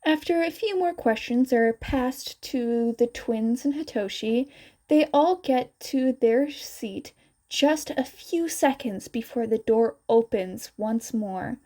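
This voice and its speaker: A woman's voice: on the high side, warm tone. A woman narrating a scripted fan fiction story as a reader.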